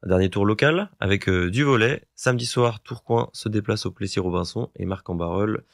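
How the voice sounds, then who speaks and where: Gravelly young man reading sports fixtures. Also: lowish voice, no room sound, clean studio sound. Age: young adult. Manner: brisk, listing fixtures with times. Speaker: sports columnist. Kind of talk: radio sports listings segment. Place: student radio studio. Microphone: studio microphone.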